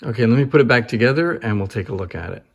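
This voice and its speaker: The room echoes clearly around a man's medium-pitched voice. He explains things informally, like a presenter giving a hands-on demonstration. His delivery is conversational and appreciative. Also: expressive, very fast, lo-fi sound. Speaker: presenter showing antique phonographs.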